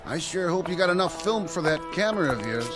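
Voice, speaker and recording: An actor playing a dim-witted, eager, childlike male sidekick in a radio drama, naive and slow on the uptake. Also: a little high, animated, music in the background, natural voice. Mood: hopeful.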